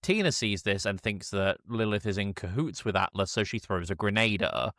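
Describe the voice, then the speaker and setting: Low-voiced man, halting podcast film recap. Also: lively intonation, a bit bright, no echo, studio quality. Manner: halting, hesitant storytelling with mock-quoted dialogue. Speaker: podcast co-host. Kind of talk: casual comedic film recap.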